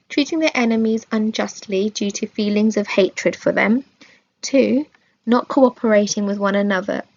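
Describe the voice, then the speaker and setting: A woman reads a religious lesson from a script with a measured, formal delivery. Her voice sits at a middling pitch with a gravelly fry in it. The recording is a little dull and lo-fi. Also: darkish tone. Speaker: reader of a religious study.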